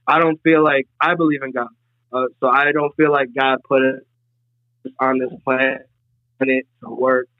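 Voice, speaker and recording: A young man in his twenties talks in a loose, rambling way, with "you know" filling nearly every pause. His voice keeps to a narrow, flat pitch. It comes through a thin, phone-like line with a dry, close sound. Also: no echo. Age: 20s. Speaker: podcast guest. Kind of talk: casual podcast conversation. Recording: tinny phone-like remote line.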